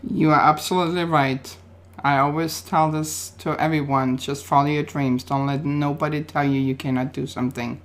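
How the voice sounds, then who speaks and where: Austrian-accented female interview guest, low voice, upbeat and determined. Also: steady tone, normal speed. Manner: upbeat, determined, speaks in short affirmations. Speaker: guest, plus-size model. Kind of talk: interview answers.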